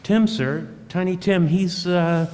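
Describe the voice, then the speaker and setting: In a reverberant hall, a man plays a timid, humble clerk for an old-time radio drama. He stammers politely in a fairly high voice, always hesitant and deferential. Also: slow pace, a little mellow. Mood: shy, grateful. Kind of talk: 1940s radio play acting. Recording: live performance in a reverberant hall, distant.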